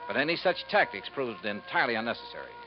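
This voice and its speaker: An actor with an American accent playing a shrewd investigator in a 1950s radio detective drama. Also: male voice, medium pitch, big pitch swings, fast talker, over music. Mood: brisk, wry. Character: shrewd male investigator bluffing as a businessman. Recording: muffled, hissy 1950s radio recording.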